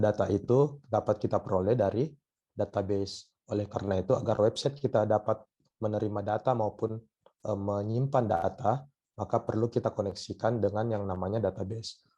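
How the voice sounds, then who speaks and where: Hoarse man lecturing with many pauses. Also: fairly low pitch, mellow, dull audio. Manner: hesitant, many filler sounds. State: hoarse from a cough. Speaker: university lecturer. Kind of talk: online lecture.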